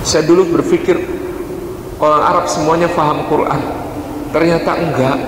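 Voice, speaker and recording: A man giving a lively, storytelling sermon in a mosque, with high emphatic peaks. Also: normal speed. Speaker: preacher. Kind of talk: mosque lecture. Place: mosque hall. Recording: echoey mosque hall, faint hiss.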